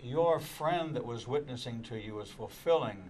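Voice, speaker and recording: A man's voice: mid-range voice, moderate pace, a little mellow, a little hiss or hum. An older man, a rabbi hosting a TV studio panel, speaking in an earnest preaching tone and repeating words as he goes. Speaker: rabbi and show host. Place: TV studio set.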